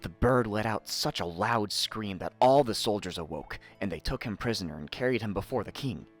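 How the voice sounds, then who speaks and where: A man narrating a scripted fairy tale in a theatrical, expressive manner. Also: fairly low pitch, moderate pace. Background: faint music.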